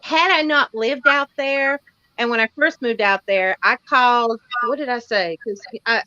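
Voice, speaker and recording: A woman with a Tennessee accent talks in a folksy, self-deprecating, chatty way. Her voice sits a little high and rings out brightly. The sound is close and dry, as on a casual livestream. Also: unhurried, no room sound, dull audio. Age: 40s. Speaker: livestream co-host. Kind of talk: casual chat.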